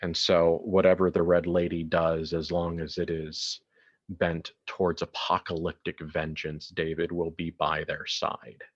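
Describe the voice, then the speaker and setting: A man narrating a horror tabletop game as game master, theatrical and deliberate with long pauses, in dull lo-fi sound. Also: deep voice, little pitch movement.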